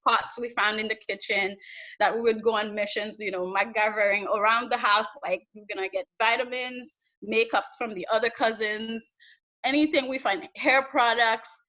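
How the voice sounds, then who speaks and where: A young woman from Haiti, a research data analyst, chatting casually in an interview over a video call and telling stories with lots of fillers. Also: medium pitch. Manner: animated storyteller, many fillers. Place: small indoor room on a video call. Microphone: laptop webcam mic on video call. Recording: dull lo-fi video call audio.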